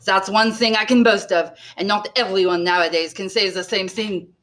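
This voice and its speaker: A woman's voice: mid-range voice, steady tone, normal speed, fairly bright tone, close to an everyday voice. A woman voicing a self-pitying, bitter male innkeeper who is eager to please, plaintive and emphatic, in an audiobook. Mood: proud, bitter.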